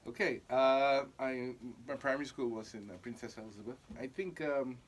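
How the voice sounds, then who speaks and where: A man's voice: medium pitch, fairly even intonation, moderate pace. Chatty young Singaporean man, laughing easily. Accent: Singaporean English. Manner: chatty, laughs often, repeats himself. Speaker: guest, actor. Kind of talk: casual interview chat.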